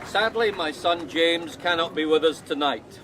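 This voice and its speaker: Actor as a politician dad speaking to a crowd outdoors, highish voice, formal, slight noise behind, radio play. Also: male voice, a little slow, natural voice. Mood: solemn, formal. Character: smooth male politician and father addressing a vigil. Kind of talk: radio drama public speech.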